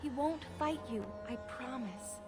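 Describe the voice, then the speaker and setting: A frightened, high-voiced elf girl. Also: expressive, measured pace, over music. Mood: pleading. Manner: pleading, earnest. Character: frightened, naive young elven captive girl, forgiving. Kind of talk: dramatic video game acting.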